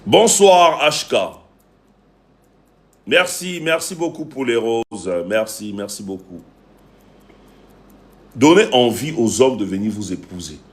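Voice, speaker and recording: A man's voice: mid-range voice, animated, takes it slowly, long pauses. A man with a Cameroonian accent coaching viewers on a livestream, preaching emphatically and repeating phrases for effect. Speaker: relationship coach and live stream host. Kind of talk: preachy livestream talk to viewers, greeting commenters.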